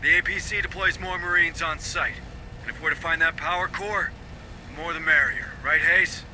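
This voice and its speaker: A man playing a brisk, commanding space marine sergeant in a video game, talking in clipped briefings. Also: fairly high pitch, steady tone, bright, forceful tone, slight background noise, rough recording. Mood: businesslike. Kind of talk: video game military acting.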